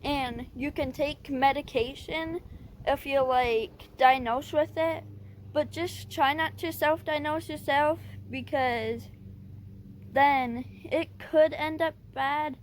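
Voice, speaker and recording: A woman vlogger giving casual advice, talking in a halting, hesitant way with stutters and restarts. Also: high-pitched, fairly even intonation, a little hiss or hum. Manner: hesitant and plain-spoken.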